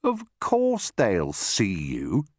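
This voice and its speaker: A man's voice: on the low side, huge pitch swings, extremely slow, no room sound, studio quality, pitched above the performer's own voice, exaggerated voice. A man voicing a smaller, fussy crocodile in a storybook, indignant, scornful and disapproving. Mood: mocking. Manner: indignant, disdainful, contradicting.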